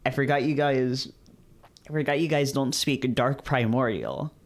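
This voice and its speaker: A man's voice: medium pitch, unhurried. A man streaming a casual read-aloud of visual novels, playfully breaking off into jokey, questioning asides.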